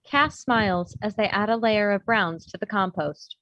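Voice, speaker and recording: A woman's voice: mid-range voice, normal speed, a bit bright, no room sound, highs cut off. A woman narrator reading screenplay stage directions in scripted narration.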